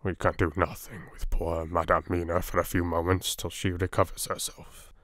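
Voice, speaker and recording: A man doing a hushed, grave old professor. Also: sits low, level tone, no room sound, clean studio sound, pitched below the performer's own voice. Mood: hushed calm. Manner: hushed, slow and measured. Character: calm elderly male professor, soothing and grave.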